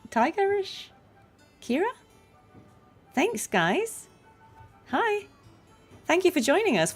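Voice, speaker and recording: A woman live streaming a game, chatting casually in a musing, appreciative way. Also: mid-range voice.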